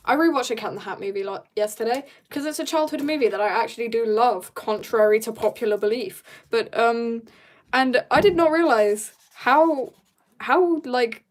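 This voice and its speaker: A young woman with a fairly high voice chats informally to viewers while she draws. Her talk is rambling and slangy, full of asides and giggly in manner. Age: teenager or young adult. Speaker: streamer drawing and chatting. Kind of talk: casual livestream chat.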